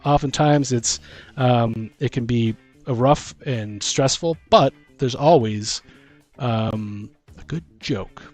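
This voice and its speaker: A man's voice: mid-range voice, takes it slowly, darkish tone, soft background music. A man co-hosting a podcast in a casual, rambling, jokey way, acting out little bits of dialogue as he goes. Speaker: podcast co-host. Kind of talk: casual chat.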